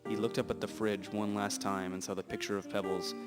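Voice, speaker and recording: A man's voice: on the low side, little pitch movement, fairly quick, natural voice. A man narrating a scripted detective radio drama as a solemn storyteller. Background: music.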